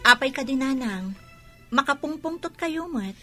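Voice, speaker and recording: A firm, aggrieved young mother in a radio drama. Her voice is slightly high and sharp as she defends herself with restrained reproach over a music bed. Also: expressive, normal speed, full character voice. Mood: defensive.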